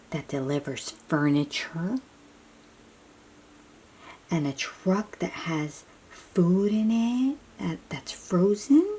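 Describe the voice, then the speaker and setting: A low, mellow woman's voice reads a picture book to small children very slowly. She often softens to a near-whisper and puts simple questions to the listeners. There is faint room noise behind her. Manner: addresses young listeners with questions and simple explanations. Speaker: children's read-aloud host. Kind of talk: picture-book read-aloud for young children.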